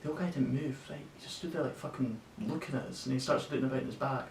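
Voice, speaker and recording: Teen guy acting a sweary young lad telling a story, halting n incredulous, far from mic, faint noise. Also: close to an everyday voice. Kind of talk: naturalistic contemporary stage monologue for an audition. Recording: echoey room, distant mic, noisy rumble.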